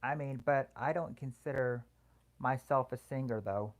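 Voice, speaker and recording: A soothing voice at a middle pitch, belonging to a livestream host who chats casually with viewers. The speech is halting and hesitant. Phrases restart often as the host answers comments one by one.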